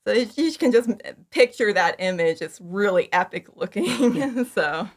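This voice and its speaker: A woman speaking as a guest in a casual interview, giggly, with animated asides and lots of "like". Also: medium pitch, measured pace. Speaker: podcast guest, fan audio drama creator.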